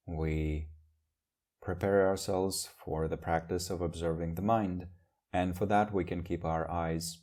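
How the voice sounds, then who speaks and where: Very low, mellow man guiding meditation. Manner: gentle, measured phrasing with long pauses. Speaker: meditation teacher. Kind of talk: guided meditation.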